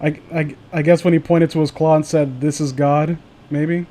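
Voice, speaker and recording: A man's voice: fairly high pitch, little pitch movement, moderate pace, dark tone. A man hosting a livestream, chatting casually with friends and viewers in a sardonic, profane way. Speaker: livestream host.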